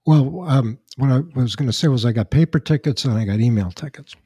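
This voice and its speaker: An older man with a slightly raspy voice, chatting casually as a podcast host and telling rambling anecdotes with dry asides. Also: mid-range voice, brisk, mellow, dry recording. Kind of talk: casual chat, storytelling.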